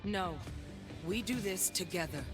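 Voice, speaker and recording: A woman voicing a battle-hardened, cursed sentinel in a video game, dry, deliberate and wry, defiant yet tender. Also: on the low side, music in the background, natural voice. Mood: solemn. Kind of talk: dramatic game voice lines.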